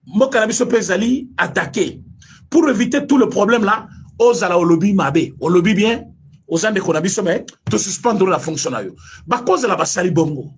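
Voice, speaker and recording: Very husky Congolese man, animated. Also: sits high. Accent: Congolese French. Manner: emphatic, rhetorical questions, mixes French and Lingala. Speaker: online commentator. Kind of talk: impassioned political commentary.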